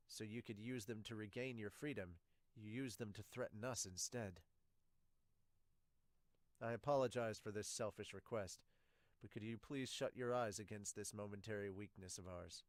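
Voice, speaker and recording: A man voicing solemn, measured military officers in an amateur manga dub. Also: lowish voice, no echo, pitched below the performer's own voice, close to an everyday voice. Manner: formal, measured.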